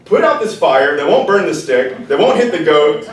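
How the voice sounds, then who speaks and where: A man's voice: on the high side, animated, slow, fairly bright tone, voice pushed up. A man voicing a rude, cocky little rooster who barks orders in a raised voice. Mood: bossy. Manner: demanding, raised voice. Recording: echoey room, distant mic.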